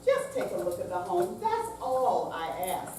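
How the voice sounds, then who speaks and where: A woman's voice: high voice, wildly swooping pitch, measured pace, mellow, heavily acted. A woman playing an earnest, insistent adult daughter coaxing her mother in a staged play reading. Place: senior center room, live staged reading. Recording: very echoey hall, distant mic, noisy.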